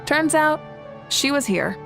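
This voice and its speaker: A woman playing a composed, gracious young leader in a video game, measured and friendly. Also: high voice, sing-song, vocal fry, music in the background, full character voice. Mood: thoughtful. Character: confident, composed young female leader, gracious and gently amused. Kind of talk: video game character acting.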